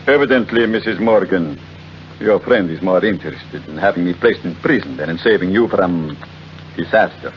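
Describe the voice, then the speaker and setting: An actor playing a smug, shabby-genteel blackmailer of about fifty in old radio drama, speaking with formal, unhurried mock courtesy. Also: male voice, sits low, expressive. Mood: oily, polite. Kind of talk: 1940s radio acting. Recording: dull, noisy old radio recording.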